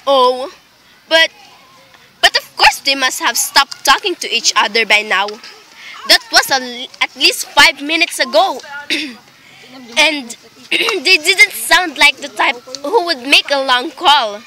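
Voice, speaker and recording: A woman's voice: high-pitched, animated, takes it slowly, pushed and bright, a little hiss or hum, full character voice. A woman playing an anxious, imperious, exasperated wife in an amateur radio play, speaking in a melodramatic, insistent way. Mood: anxious, impatient. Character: anxious, imperious, exasperated invalid wife reporting a murder plot.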